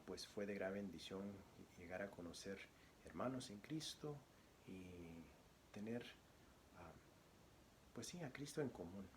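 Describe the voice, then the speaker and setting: A man from Guatemala, a church deacon, introducing himself as a guest preacher and reading scripture, speaking softly with many pauses. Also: lowish voice, a little hiss or hum. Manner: soft-spoken, frequent pauses and fillers; louder, declamatory when reading scripture.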